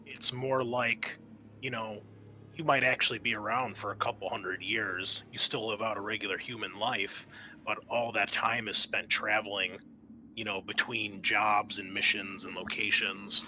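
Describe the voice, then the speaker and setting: A man working as game master, narrating and explaining a tabletop game in a halting, conversational way. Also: fairly low pitch, fairly even intonation, sharp tone, slight background noise, rough recording.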